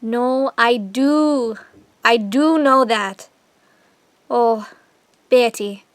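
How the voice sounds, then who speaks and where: A woman playing a fragile, loving, worried middle-aged mother in an audio drama, halting and near tears. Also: a little high, no echo. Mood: sorrowful. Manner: halting, hesitant.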